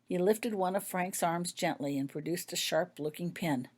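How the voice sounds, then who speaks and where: Lower-pitched woman narrating a story. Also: normal speed, darkish tone. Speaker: author reading own fan fiction.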